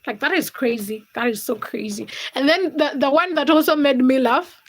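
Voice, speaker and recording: A woman's voice: high voice, moderate pace. A young woman from Uganda and Kenya hosting a casual livestream, reading out viewers' comments and teasing them by name. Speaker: livestream host.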